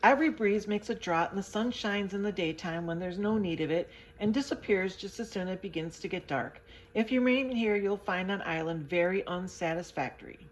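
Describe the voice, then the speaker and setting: A woman's voice: medium pitch, brisk, natural voice, poor audio. A woman voicing a fussy, pessimistic little man who complains and wails about everything. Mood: grumbling. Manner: whining, complaining, wailing.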